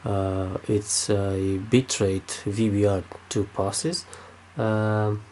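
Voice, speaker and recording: A man's voice: on the low side, little pitch movement, extremely slow, darkish tone, slight background noise. A man with a Romanian accent, speaking unscripted with many fillers and restarts while demonstrating something at his desk. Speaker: tech video maker.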